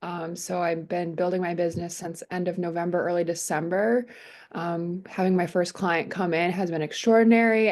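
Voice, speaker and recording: A woman with a slightly low voice introduces herself on a group video call. She sounds cheerful and laughs easily as she talks about her business. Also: darkish tone. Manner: cheerful and giggly. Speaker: coaching client.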